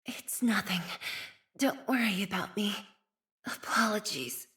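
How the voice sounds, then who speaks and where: A young woman playing a composed, earnest scientist and leader in a video game, with a soft, slightly breathy voice and measured, formal, thoughtful phrasing. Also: mid-range voice, lightly acted. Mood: calm, attentive. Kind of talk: video game character voice lines.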